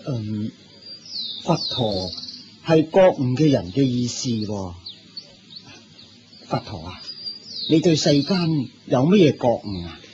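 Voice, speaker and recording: A man's voice: mid-range voice, very animated, slow pace, pauses often. An actor with a Hong Kong Cantonese accent playing a plain-spoken, respectful and curious layman in a radio drama, colloquial and earnest. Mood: questioning. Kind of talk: Buddhist radio drama acting. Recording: muffled, noisy lo-fi radio recording.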